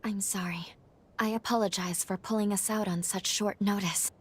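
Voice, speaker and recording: A woman voicing a grave, serious companion in a video game cutscene, speaking formally in a breathy voice. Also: mid-range voice, fairly even intonation, normal speed, close to an everyday voice. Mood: grave, urgent. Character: grave, urgent woman companion guiding a hunter. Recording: faint music under the voice.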